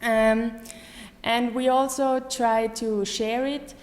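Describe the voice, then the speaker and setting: A woman in her thirties with a German accent, giving a live lecture to an audience, pausing often with many ums and restarts. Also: a little high, flat delivery. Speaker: artist giving a talk. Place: lecture hall. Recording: echoey hall with faint room noise.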